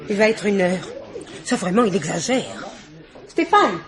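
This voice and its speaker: An actress playing an imperious, chattering middle-aged society hostess in a theatrical, exclamatory French radio mystery play. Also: female voice, medium pitch, big pitch swings, unhurried, a crowd in the background. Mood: impatient.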